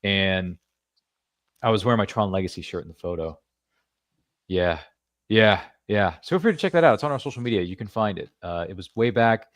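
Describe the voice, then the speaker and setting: A man hosting a casual podcast chat, his voice sitting on the low side. He rambles along with playful asides. The sound is dry and close. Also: lively intonation, a little fast, pauses often, no room sound. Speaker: podcast host.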